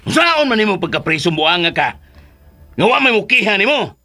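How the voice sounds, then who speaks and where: A Filipino actor playing a bossy, hot-tempered schemer in a radio drama, with a rough, bullying, theatrical delivery. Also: male voice, sits high, sing-song, moderate pace, bright, forceful tone, heavily acted. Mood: scheming. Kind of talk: Philippine radio drama acting.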